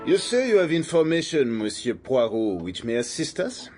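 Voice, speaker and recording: A man's voice: mid-range voice, big pitch swings, normal speed, loud music behind the voice, natural voice. An actor playing a formal, gaunt male magistrate questioning people in a radio drama.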